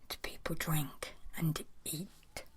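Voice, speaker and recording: Lady doing asmr, soft gentle whisper, super slow, kinda low voice. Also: flat delivery. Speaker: ASMR video creator and host.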